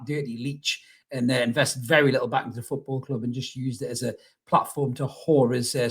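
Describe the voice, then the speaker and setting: A middle-aged man hosting a casual football fan vlog, chatty and rambling, with blunt opinions and rhetorical questions, in a slightly husky voice. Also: mid-range voice. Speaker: YouTube football channel host.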